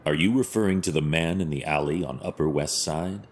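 Casting: stern masked vigilante, extremely low voice, solemn and measured, audio drama, faint background ambience. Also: male voice, slight background noise. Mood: measured, gentle.

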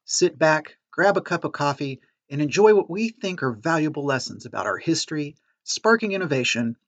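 A man's voice: fairly high pitch, animated, normal speed, fairly bright tone, no echo. A man reading a scripted podcast introduction as an announcer, over guitar music.

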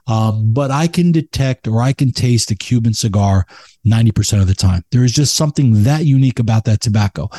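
A man's voice: medium pitch, mellow, no echo, clean studio sound. A man speaking as an expert guest in a casual chat, emphatic and opinionated about his craft. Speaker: expert podcast guest, cigar blender.

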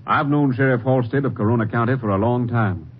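Man as a firm ranger captain, reassuring, mid pitch, dull old radio sound. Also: a little fast, a little hiss or hum, close to an everyday voice. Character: authoritative male Ranger captain. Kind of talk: 1950s radio drama acting.

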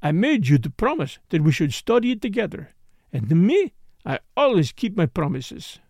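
A man's voice: sits high, sing-song, normal speed, vocal fry, a little mellow, no room sound, studio quality, exaggerated voice. A man playing a courteous middle-aged detective with a French accent and neat, precise, formal diction in an audiobook. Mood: genial, playful.

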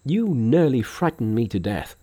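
A pious monk master from a storybook, performed by a male reader. He speaks with formal earnestness and an anxious solemnity, his mellow voice rising and falling expressively in a dry recording. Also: mid-range voice, big pitch swings, normal speed, husky, dry recording. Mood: relieved.

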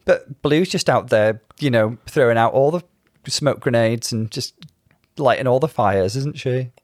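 A British man with a medium-pitched voice speaks as a podcast co-host. His commentary is casual yet articulate and measured. The sound is dry and close, with no echo. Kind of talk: casual TV show recap commentary.

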